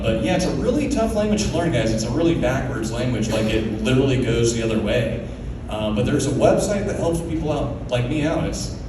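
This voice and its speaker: A young man from Oklahoma works a stand-up set in a club, talking in an easy, rambling way with lots of filler words. His voice sits at an ordinary male pitch. It comes from some way off the mic and bounces around a very reverberant room, with a clear hum of club noise behind it. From Oklahoma, USA. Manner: laid-back, filler-heavy delivery with lots of "man" and "uh". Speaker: stand-up comedian. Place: comedy club stage. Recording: very echoey club room from far off. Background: audience laughter.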